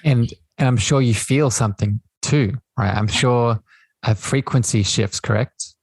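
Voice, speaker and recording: A man's voice: mid-range voice, measured pace. A man hosting a spiritual podcast, talking in a reflective way and often checking in with "right?". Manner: reflective, tags thoughts with "right?". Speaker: podcast host. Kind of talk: spiritual conversation.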